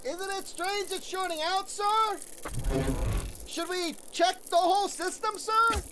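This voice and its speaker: A woman's voice: extremely high pitch, steady tone, takes it slowly, pushed and bright, exaggerated voice. A woman playing an eager, dutiful junior bosun who questions orders with military formality in a radio comedy. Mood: worried, insistent. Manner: dutiful, crisp military address. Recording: clear background noise.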